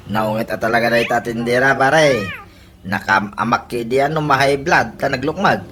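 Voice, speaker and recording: A man's voice: medium pitch, measured pace, pushed and bright, slight background noise. An actor with an Ilocano accent playing a chummy, teasing male drinking buddy in a comedy radio drama. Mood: bantering.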